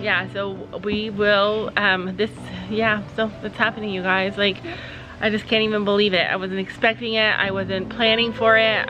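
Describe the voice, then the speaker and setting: A middle-aged woman speaks to her phone camera on a porch, her talk halting and full of sighs and ums. Her voice sits in a mid-range pitch. Clear background music plays under her words. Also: highs cut off. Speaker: family vlogger, mother. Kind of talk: casual vlog talk to camera. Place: outdoor porch. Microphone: phone held at arm's length.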